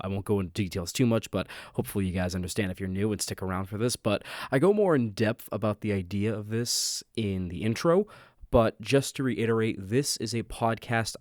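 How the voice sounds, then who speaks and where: A man's voice: fairly low pitch, no echo, clean studio sound. A man hosting a casual podcast who rambles off the cuff with many fillers and restarts, and sounds a bit nasal from a head cold. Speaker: podcast host.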